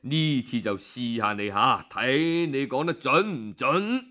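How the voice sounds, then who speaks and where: A man's voice: medium pitch, animated, slow, bright, forceful tone, muffled recording, pitched below the performer's own voice. A man with a Cantonese accent playing a blunt old minister in a story, frank and full of questions. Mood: teasing.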